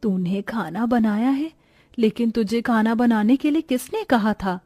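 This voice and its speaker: A woman's voice: on the high side, a little slow, dark tone, soft background music. Weary, plaintive poor mother played by a woman. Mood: stern, emotional. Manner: earnest, plaintive. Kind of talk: Hindi cartoon moral story.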